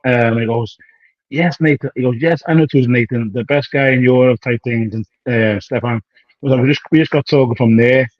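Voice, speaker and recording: A man's voice: medium pitch, steady tone, a little fast, dry recording, lo-fi sound. A man in his thirties, a professional bodybuilder, chatting casually in an interview, rambling in slang and acting out past conversations. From United Kingdom. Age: 30s. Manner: rambling, slangy, acts out quoted dialogue.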